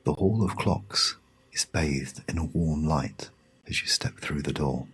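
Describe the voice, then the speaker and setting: A British man narrating a guided sleep meditation story in a hushed, soothing, measured way with long pauses. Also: a bass voice, husky. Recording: music behind the voice. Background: music.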